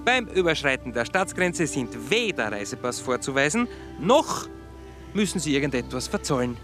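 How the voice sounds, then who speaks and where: A man's voice: medium pitch, big pitch swings, normal speed, over music, close to an everyday voice. A man playing a jokey driver who announces like an airline captain in a radio drama. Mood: playful, mock-official.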